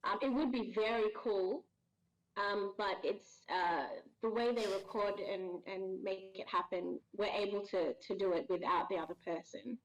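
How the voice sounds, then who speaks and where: A woman's voice: medium pitch, unhurried. Thoughtful woman on a dull call line. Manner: thoughtful, hesitant, many fillers and restarts. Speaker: voice actress guest. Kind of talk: casual interview answers.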